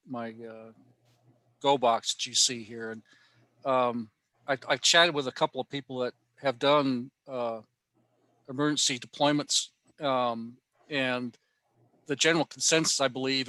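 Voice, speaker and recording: A man's voice: medium pitch, pushed and bright, no echo. A man giving an informal presentation and answering questions on an online video call, chuckling now and then as he talks. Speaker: presenter at a ham radio workshop.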